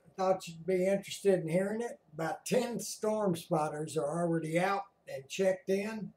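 A man with an American accent giving casual, halting live commentary as he watches and narrates a screen. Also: high-pitched, darkish tone. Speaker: amateur storm-watcher narrating a screen recording. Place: indoors at home by a computer. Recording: roomy echo.

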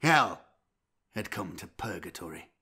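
A man's voice: low-pitched, sing-song, a little slow, pushed and bright, breathy edge. A man reading dramatic scripted fiction as an audiobook narrator. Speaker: audiobook narrator and channel host.

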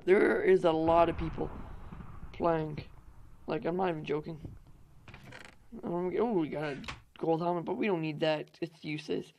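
A young man hosting a gaming channel, hoarse from losing his voice, rambling through casual gameplay commentary with fillers and swearing. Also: fairly high pitch, fairly even intonation, normal speed, long pauses, darkish tone, a little hiss or hum.

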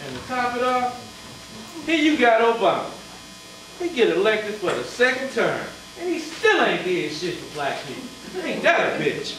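A man's voice: very high-pitched, sing-song, unhurried, a bit bright, exaggerated voice. An actor playing a blunt, irritable, opinionated middle-aged father in a live urban stage play. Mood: grumbling, indignant. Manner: blunt, profane, grumbling. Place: theatre stage before a live audience. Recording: roomy far-off stage recording, noisy audience.

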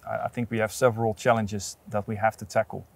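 Earnest, fairly low-voiced man. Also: little pitch movement, creaky. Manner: earnest, measured, with restarts. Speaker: port authority representative. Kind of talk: outdoor interview. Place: outdoors at an industrial port site. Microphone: lapel.

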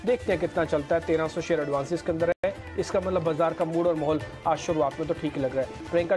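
A man with a high voice anchors a live stock market show in brisk commentary, talking straight to the viewer. Soft music plays underneath him.

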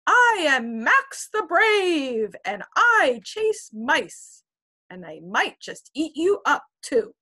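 A woman's voice: high-pitched, sing-song, slow, sharp tone, pitched above the performer's own voice, full character voice. Max, a woman doing a bold little kitten. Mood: boastful. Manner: polite, eager, boastful. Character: brave little male kitten, polite and boastful.

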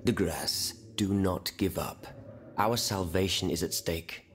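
A man's voice: deep voice, takes it slowly, a little hiss or hum. A man voicing an eloquent, proud revolutionary lawyer in a video game, with formal rhetorical delivery. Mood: grave, urgent. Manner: formal, rhetorical, sprinkled with French phrases. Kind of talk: video game cutscene acting.

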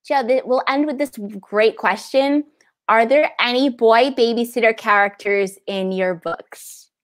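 High-voiced woman hosting a library event. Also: measured pace. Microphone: wired earbuds on a video call.

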